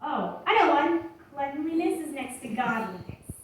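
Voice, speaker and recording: A woman's voice: sits high, big pitch swings, moderate pace. A woman playing a lazy, naive churchgoer in a puppet show, hesitant and defensive, full of excuses. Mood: sheepish. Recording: echoey room, distant mic, faint noise.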